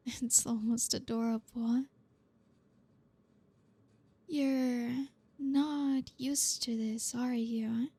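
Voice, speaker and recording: A woman playing a dominant, flirty boss in an ASMR roleplay, speaking softly and breathily with teasing, deliberate command, close to the microphone. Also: a little high. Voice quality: breathy, soft. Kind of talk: ASMR roleplay, close-mic soft speech. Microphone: close microphone, dry.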